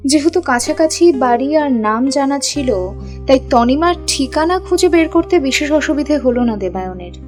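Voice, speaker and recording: A woman narrates a scripted love story. Her voice sits a little high, and instrumental music plays behind her. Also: expressive, normal speed, darkish tone.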